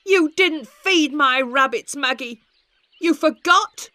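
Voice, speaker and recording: A woman voicing a blunt, quick-tempered schoolboy. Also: medium pitch, expressive, a bit bright, dry recording, voice pushed up, lightly acted. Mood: angry. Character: proud eleven-year-old schoolboy, quick-tempered. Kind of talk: storybook reading.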